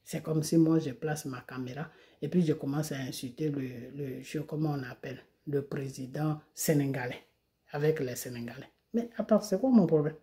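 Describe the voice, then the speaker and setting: A woman's voice: low-pitched, normal speed, dark tone. A woman from Côte d'Ivoire, a video creator, delivering an indignant monologue to the camera and hammering home rhetorical questions. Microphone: phone or camera mic.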